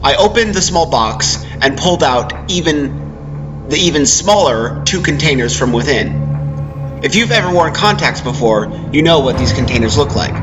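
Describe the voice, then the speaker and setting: A man narrating a first-person horror story as a dramatic reading. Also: fairly high pitch. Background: background music.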